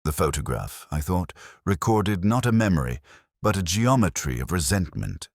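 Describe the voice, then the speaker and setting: Very deep-voiced older man narrating a story. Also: expressive, no room sound, clean studio sound. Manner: measured, reflective, solemn. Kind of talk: literary first-person detective story narration.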